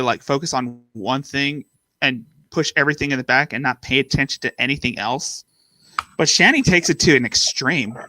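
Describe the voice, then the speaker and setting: A man with a slightly nasal voice co-hosting a casual stream chat, talking in halting bursts with restarts. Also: a little high, sharp tone, no echo. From Arizona, US. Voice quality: a bit nasal. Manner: halting, restarts mid-thought. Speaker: stream co-host.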